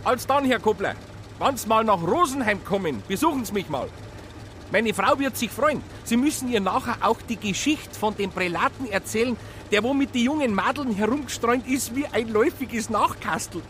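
Jovial Bavarian provincial, very high bright voice, radio play, car and street noise behind. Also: male voice, expressive, moderate pace. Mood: hearty, chummy. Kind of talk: radio play dialogue in dialect.

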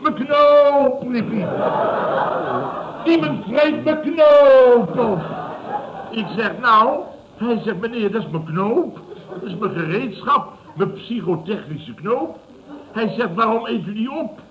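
An actor playing a boastful, boisterous builder boss who tells stories in a repetitive, blustering way in a radio comedy. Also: male voice, extremely high pitch, slow pace, crowd noise. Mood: gleeful boasting. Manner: blustering, repetitive storyteller acting out quotes. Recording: dull old radio recording, studio audience.

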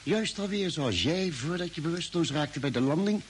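An actor playing a calm, reasoning spaceship doctor in a science-fiction radio drama, speaking deliberately and probingly. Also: male voice, on the high side, natural voice. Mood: grave. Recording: hissy old radio drama recording.

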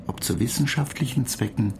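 Courteous radio-drama computer male actor, matter-of-fact explainer, creaky tone, distant mic with background noise. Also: medium pitch, brisk, natural voice.

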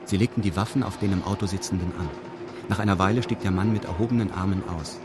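Actor as a low-voiced, detached male narrator. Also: steady tone, quick pace, music in the background, close to an everyday voice. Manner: formal, detached, meticulous. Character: dry, meticulous male first-person narrator. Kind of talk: scripted radio play narration in reported speech.

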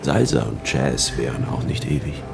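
An actor playing a reflective writer who narrates dramatically in a literary radio play. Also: male voice, rumbling low, moderate pace, vocal fry, over loud music, full character voice. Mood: mournful, rhetorical.

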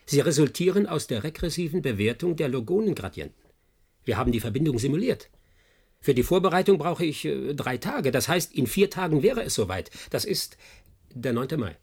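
An actor playing an intense, defiant, protective scientist in a German radio drama, with a husky voice. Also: male voice, a little high, very animated, brisk, a little mellow, heavily acted. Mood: resolute, matter-of-fact.

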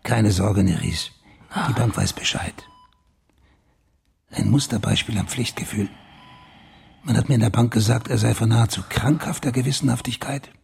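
An actor playing a sober, wry senior police official who questions in a measured way in a radio crime drama. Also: male voice, a little mellow, heavily acted. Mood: dry, wry. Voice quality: low, husky.

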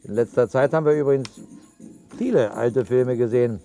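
A man's voice: mid-range voice, fairly quick, warm tone, faint music behind, close to an everyday voice. A man acting in a radio drama as an idle, sneering shut-in who muses and repeats his words. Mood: grumbling. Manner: musing, hesitant, repeating words. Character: idle, grumbling male shut-in, sneering at the world above.

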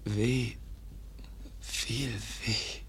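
A man's voice: mid-range voice, fairly even intonation, drawn-out words, a bit bright, slight background noise. An actor playing a stabbed young man in a radio play, weak and whispering in broken, clipped sentences. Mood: exhausted. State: weak from a stab wound, breathy whisper. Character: wounded young man Kaspar, weak, halting.

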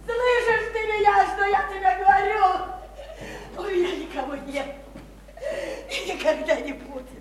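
A woman's voice: extremely high pitch, measured pace, roomy sound, a little hiss or hum. An actress playing a bitter, lonely, hard-drinking woman in a radio play, mocking and wailing in repeated phrases. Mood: tearful defiance. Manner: wailing, repetitive, mocking, theatrical. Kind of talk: theatrical radio play acting.

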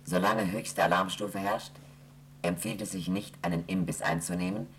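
A woman voicing a station computer that reads out emergency rules in a flat, mechanical monotone. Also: a bass voice, normal speed, a little hiss or hum. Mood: dictating. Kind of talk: 1970s radio play acting.

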